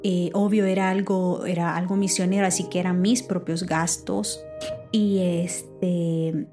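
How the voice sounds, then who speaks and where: A woman who preaches, with Spanish as her first language, telling a personal story in a lively, halting way with many restarts. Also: lowish voice, over music. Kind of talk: personal testimony, casual talk.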